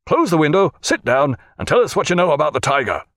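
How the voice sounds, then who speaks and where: Man acting a bluff naval captain, lively delivery. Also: mid-range voice, fairly quick, dry recording. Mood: angry, commanding. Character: bluff, commanding naval captain, male, hearty and mischievous.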